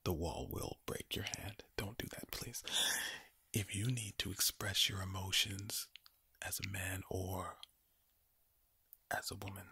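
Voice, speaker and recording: A man speaking in a breathy, low ASMR whisper close to the microphone, deliberately repeating words in a soothing yet sinister-sounding way. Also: steady tone, pushed and bright, no echo. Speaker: ASMR performer. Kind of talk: ASMR monologue of whispered and low soft reassurance.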